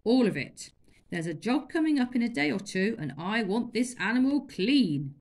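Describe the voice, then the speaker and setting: A woman voicing a bad-tempered man, an elephant master who shouts and scolds furiously. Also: fairly high pitch, sing-song, normal speed, voice pushed up. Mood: scolding.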